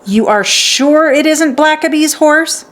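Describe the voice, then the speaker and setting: A woman voicing a gruff, stern and grasping man in a children's storybook, curt and dismissive. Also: high voice, takes it slowly, a bit bright, voice pushed up. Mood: impatient. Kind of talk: children's storybook read aloud.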